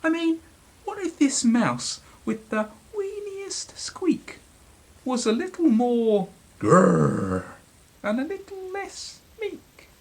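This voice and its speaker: A tiny storybook mouse, squeaks in a very high, swooping voice. It is meek and polite, yet plucky and eager to make friends. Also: male voice, wildly swooping pitch, slow pace, creaky, husky, exaggerated voice. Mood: eager, excited. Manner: timid but plucky, polite. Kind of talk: children's storybook character voice.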